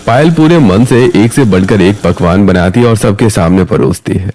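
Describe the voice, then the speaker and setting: Mellow-voiced male story narrator. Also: lowish voice, normal speed. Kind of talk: scripted story narration in present tense.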